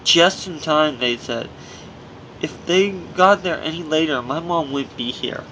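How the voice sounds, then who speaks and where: A man with a fairly high voice reads his own memoir as an audiobook narrator. His delivery is earnest and scripted, with the odd stumble over a word. There is faint noise on the amateur recording. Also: a little slow, sharp tone, poor audio. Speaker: author reading own memoir.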